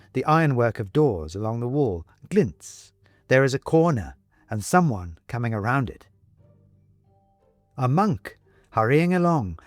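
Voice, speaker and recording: A man narrating an audiobook story in a deliberate, expressive, literary delivery. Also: mid-range voice, dry recording.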